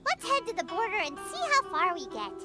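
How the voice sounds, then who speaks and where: A tiny, squeaky fairy sidekick from an anime game, extremely high and swooping in pitch. She is cheeky, chirpy and quick to take offence, with loud music playing behind her. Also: huge pitch swings, exaggerated voice. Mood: indignant. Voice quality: squeaky, childlike. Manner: speaks of herself in third person, exclaiming. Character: small childlike female fairy companion, chirpy, indignant, cheeky. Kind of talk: anime video game voice acting.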